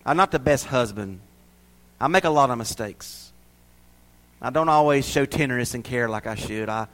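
A man preaching a sermon to his church, swinging from loud emphasis to hushed asides. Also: mid-range voice. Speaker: pastor.

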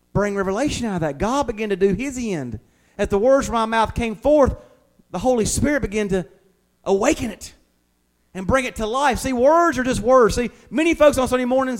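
A middle-aged male pastor with a notably high voice. He preaches informally, as if chatting with his congregation. His delivery is folksy and homespun, leaning on plain everyday examples. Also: lively intonation, a little fast. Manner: folksy, uses homely examples and acts out imagined replies. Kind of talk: informal conversational evening sermon.

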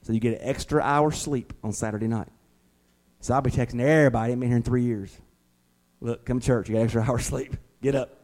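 A man's voice: medium pitch, fairly even intonation, fast, darkish tone. A middle-aged man preaching an informal, conversational sermon as a pastor, in a folksy way. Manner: folksy, uses homely examples and acts out imagined replies.

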